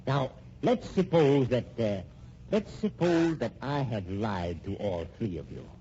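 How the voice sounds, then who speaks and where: An actor playing a smug, scheming husband in an old-time radio drama, full of stammering, mock-genial courtesy. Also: male voice, medium pitch, expressive, a little slow, dark tone, lightly acted. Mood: gloating, controlling. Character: scheming, smug, sardonic husband playing everyone against each other. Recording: muffled, noisy old radio recording.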